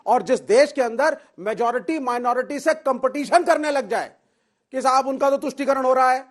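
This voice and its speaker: A man with a very high speaking voice argues on a televised debate panel. He presses his case insistently and keeps talking over his opponent. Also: normal speed. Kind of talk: heated TV debate.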